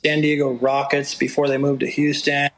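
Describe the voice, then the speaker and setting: A middle-aged man with a low, fairly steady voice tells stories in an unhurried interview. His pitch sits a little above most men's, and he sometimes lifts it when he quotes someone else. He keeps an easy storytelling pace. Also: low-quality recording. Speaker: motocross broadcaster. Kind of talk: reflective interview talk.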